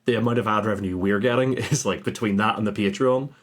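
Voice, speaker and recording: A man with a fairly low voice chats as a guest on a podcast. His delivery is informal and self-deprecating, in the loose style of casual conversation. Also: steady tone, quick pace. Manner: self-deprecating, informal, chuckles through remarks. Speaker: podcast guest, audio drama creator. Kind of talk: casual chat.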